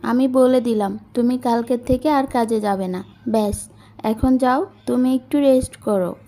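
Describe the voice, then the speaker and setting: A woman's voice: on the high side, normal speed, warm tone, lightly acted. A woman voicing a devoted, polite but firm young village working girl in a cartoon. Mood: firm, caring.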